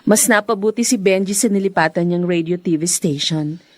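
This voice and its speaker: A woman's voice: on the low side, normal speed, no echo, close to an everyday voice. A woman with a Filipino accent playing a proud, affectionate sister and daughter in a radio drama. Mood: fond, hopeful.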